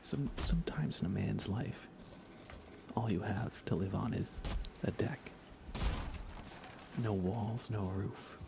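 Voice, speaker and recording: Soft-spoken man, low and gravelly. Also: steady tone, noisy background. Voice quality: soft, breathy, often near whisper. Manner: casual, unhurried, musing aloud, dry humor. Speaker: live streamer, host. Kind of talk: relaxed ASMR gaming livestream commentary.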